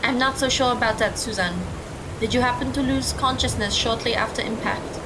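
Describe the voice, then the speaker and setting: Woman as a careful teenage girl, matter-of-fact, higher-than-average voice, audio drama, rumbly noise behind. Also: brisk, lightly acted. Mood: concerned. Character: sensible, careful teenage girl, former hockey player.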